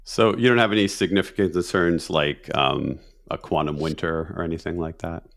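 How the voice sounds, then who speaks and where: Low-voiced male podcast host. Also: moderate pace, vocal fry. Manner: relaxed, friendly, hesitant fillers. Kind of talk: interview questions and show segue.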